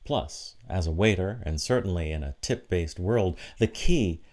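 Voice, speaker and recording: Low-voiced man giving a reflective sermon. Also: animated, a little mellow. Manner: measured, deliberate phrasing with short emphatic pauses. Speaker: preacher giving a sermon for an online church service.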